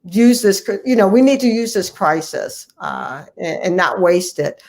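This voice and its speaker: A woman's voice: medium pitch, lively intonation, a little slow, a little mellow. Earnest American woman giving interview answers. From United States, Ohio. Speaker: physician guest and medical association leader.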